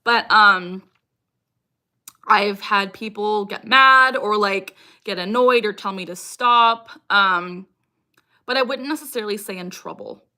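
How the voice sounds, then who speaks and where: A woman's voice: medium pitch, slow, pushed and bright. A young adult woman hosting a casual livestream Q&A, speaking frankly and informally, with sudden repeated vocal tics breaking into her talk. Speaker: livestream host, content creator. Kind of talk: casual livestream Q&A chat, answering viewer comments.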